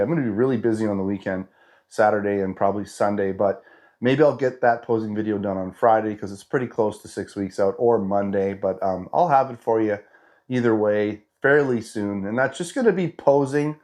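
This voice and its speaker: A man in his fifties with a head cold, his voice stuffed up and nasal, chatting casually in a fitness update vlog with lots of restarts. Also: medium pitch. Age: 50s. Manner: chatty and rambling, with frequent restarts and "you know". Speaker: fitness vlogger.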